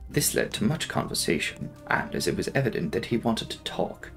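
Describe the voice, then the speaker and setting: A man's voice: fairly low pitch, sing-song, fairly quick. A young man narrating a classic novel as a dramatic audiobook reading. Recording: faint music behind the voice. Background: soft background music.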